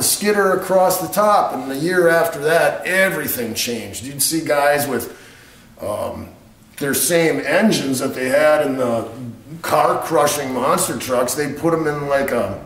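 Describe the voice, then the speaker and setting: A man's voice: a little high, fairly bright tone. A middle-aged man telling a story casually and informally, rambling along in a matter-of-fact way. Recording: roomy echo on the voice.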